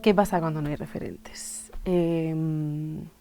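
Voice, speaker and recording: A woman in her twenties from Spain, an illustrator and musician, talking thoughtfully in an interview with many pauses and fillers. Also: low voice, steady tone, over music. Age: 20s. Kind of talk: reflective interview monologue.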